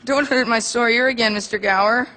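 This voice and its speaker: A man's voice: extremely high pitch, fairly even intonation, fairly quick, bright, forceful tone. An actor voicing a frightened, earnest boy who pleads with his boss in a live radio drama. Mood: pleading. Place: theater stage.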